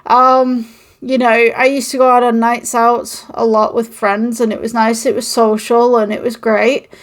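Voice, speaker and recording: A woman's voice: fairly high pitch, flat delivery, measured pace. A woman from the United Kingdom with a mixed, Manchester-tinged northern English accent, talking casually to camera with many ums, recorded on an old microphone with a phone. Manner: rambling and encouraging, many ums. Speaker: channel host. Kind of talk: casual podcast-style talk to camera.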